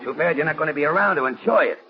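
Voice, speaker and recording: Guy doing a cocky gravelly gangster, sneery wisecracks, muffled old radio crime show. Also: fairly high pitch, racing, natural voice. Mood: cocky, sneering. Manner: wisecracking tough-guy bluster. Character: cocky, sneering male gangster, double-crossing convict. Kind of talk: 1940s radio crime acting. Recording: dull vintage 1940s radio recording.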